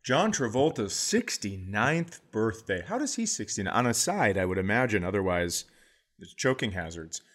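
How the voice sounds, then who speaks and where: A man's voice: lowish voice, very animated, normal speed, a bit bright. A middle-aged man hosting a comedy podcast, chatting casually and playfully, slipping into goofy voices for jokes.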